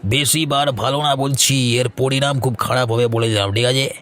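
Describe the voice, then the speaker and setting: A man's voice: mid-range voice, fairly even intonation, moderate pace, fairly bright tone, natural voice. A man playing an insolent, bullying young village crook in a cartoon folk tale, with slangy, threatening talk. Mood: menacing.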